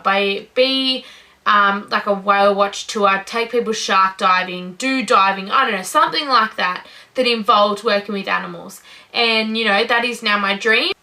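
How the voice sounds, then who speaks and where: A woman's voice: medium pitch, bright, forceful tone. A nineteen-year-old Australian woman in her first vlog, talking casually to camera in an upbeat, gushing way. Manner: upbeat, gushing, says "incredible" and "you know" often. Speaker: vlogger.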